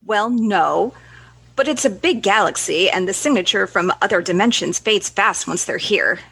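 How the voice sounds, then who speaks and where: Radio comedy science commander, female actor: brisk, self-assured, prickly, sharp husky voice, dry sound. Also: mid-range voice, no echo. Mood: confident, brisk. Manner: brisk, confident, quick to bristle. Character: capable, feisty female space-station science commander. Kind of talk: comedy sci-fi radio acting.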